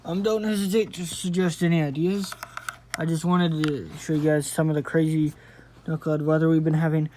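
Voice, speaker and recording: A man's voice: on the high side, level tone, fairly quick, warm tone, slight background noise. A man hosting his own video channel, chatting casually to viewers in an informal, meandering and apologetic way. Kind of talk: casual, rambling vlog talk to viewers.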